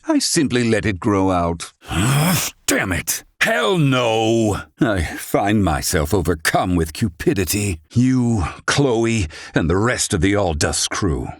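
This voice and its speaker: A man's voice: fairly low pitch, gravelly, fairly bright tone, dry recording, clean studio sound, full character voice. An actor playing a proud, grumpy, brainy older man in a video game, with pompous, blustering, wordy old-fashioned diction. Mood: flustered, indignant, then proud, grumbling. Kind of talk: dramatic English-dub game acting.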